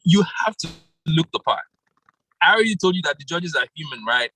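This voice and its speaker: A man coaching contestants in an interactive online workshop, animated, laughing often and calling on participants by name. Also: fairly high pitch, brisk, slightly rough, rough recording. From Nigeria. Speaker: public speaking coach. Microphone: video call.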